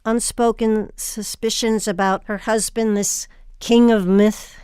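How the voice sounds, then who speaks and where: A woman's voice: mid-range voice, little pitch movement, takes it slowly, darkish tone, clean tone, no echo, studio quality. A woman hosting an arts interview podcast, asking questions and reading scripted lines. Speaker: host.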